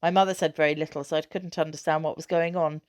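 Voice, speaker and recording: A woman narrates a children's novel in a fairly low voice. She reads scripted prose aloud with expression, as a storybook reader. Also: steady tone, fast, no room sound, studio quality.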